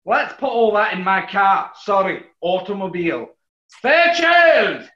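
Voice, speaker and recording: An actor playing a wealthy, breezy, imperious composer with a plummy, theatrical manner in a 1940s-style radio comedy. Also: male voice, high voice, sing-song, a little slow. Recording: echoey, dull room recording.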